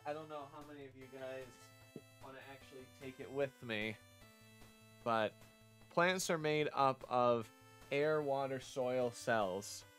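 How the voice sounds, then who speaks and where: Low-voiced man streaming a visual novel. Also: unhurried, fairly bright tone, faint music behind. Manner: rambling, chatty asides between read lines. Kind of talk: casual game playthrough commentary with read-aloud dialogue.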